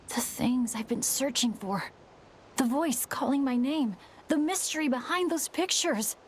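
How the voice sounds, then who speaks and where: A woman voicing an earnest, selfless teenage boy adventurer in a video game, boyish and halting. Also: fairly high pitch, a little hiss or hum, natural voice. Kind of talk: video game character acting.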